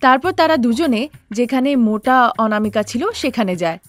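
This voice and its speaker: A woman's voice: a little high, animated, moderate pace, no echo. A woman narrator telling a cartoon story in a scripted storytelling style.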